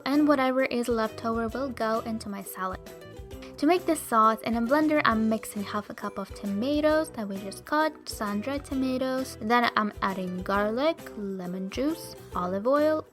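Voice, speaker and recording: A woman's voice: fairly high pitch, moderate pace, soft background music. A young woman with a Russian accent, chatting cheerfully to viewers as she narrates her cooking. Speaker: vegan food vlogger.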